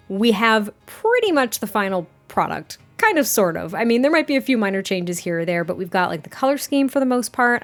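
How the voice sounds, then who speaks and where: A woman with a slightly raspy, mid-pitched voice talks casually and rambles a little, full of fillers. Soft music plays faintly underneath her voice-over. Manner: chatty, rambling, frequent fillers and laughs. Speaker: game builder narrating a speed build. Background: soft background music.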